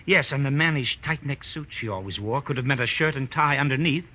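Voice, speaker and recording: A man's voice: medium pitch, fairly quick, pushed and bright. A man playing an earnest, uneasy companion of a detective, acting dramatically in a 1940s radio play. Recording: dull old radio recording.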